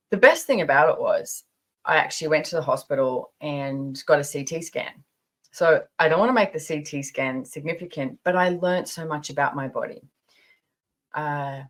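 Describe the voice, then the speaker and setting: Low-voiced woman in her fifties, chatty. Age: 50s. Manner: chatty, emphatic, repeats phrases for stress. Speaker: podcast host. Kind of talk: casual personal storytelling monologue.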